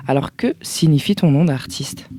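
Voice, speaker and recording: Easygoing male interviewer with a higher voice. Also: normal speed, warm tone, no echo. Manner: easygoing, informal questions. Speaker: interviewer, host. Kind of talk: casual interview chat.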